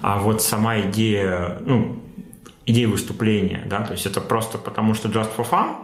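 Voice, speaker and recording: A man with a very low, gravelly voice interviews a guest, asking loose, hesitant questions and murmuring agreement as he listens. His voice carries an echoey room sound. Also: normal speed.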